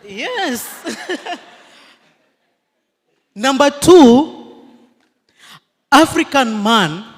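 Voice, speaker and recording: A man, a historian on a panel, argues emphatically point by point before an audience in a large hall. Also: high voice. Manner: emphatic, measured points, mixes in Swahili. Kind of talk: impassioned public debate speech. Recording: long hall reverb.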